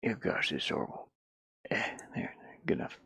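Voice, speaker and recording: A man casually thinking out loud in an offhand, muttering way as he works through game development on screen. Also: normal speed, dry recording, whispered, studio quality. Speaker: indie game developer, streamer. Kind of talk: casual think-aloud screen-share commentary while working.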